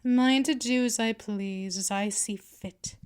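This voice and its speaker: A woman acts out a seductive vampire mistress in an intimate ASMR audio roleplay. Her voice sits on the high side and she draws her words out very slowly. She is patient and possessive, talking down to the listener in a teasing, coaxing way. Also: a little mellow, clear, resonant tone. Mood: commanding, possessive. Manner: slow, coaxing, condescending, drifting into whispers.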